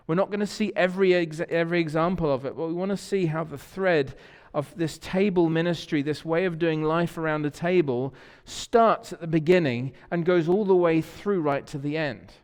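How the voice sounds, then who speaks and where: A man's voice: fairly high pitch, a little fast, few pauses, smooth. A man preaching a conversational sermon as a pastor, telling stories and often repeating words while he searches for the next one. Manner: warm storytelling, repeats words when searching. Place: church sanctuary. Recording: church hall reverb.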